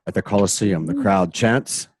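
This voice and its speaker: A man's voice: low-pitched, normal speed, no room sound, clean studio sound. A man narrating a radio play from a script in an informal, dramatic way, with casual asides.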